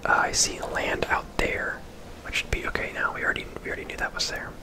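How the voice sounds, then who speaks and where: A person whispering casual, chatty game commentary in an ASMR style, rambling off into asides, close to the microphone. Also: fast. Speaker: video game streamer and host.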